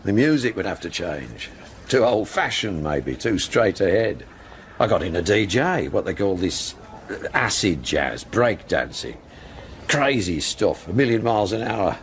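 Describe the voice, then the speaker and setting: A man's voice: deep voice, very animated, a little slow, slight background noise. A man acting in a radio drama as a world-weary, proud older club owner, speaking in wry, clipped fragments. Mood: wry, rueful.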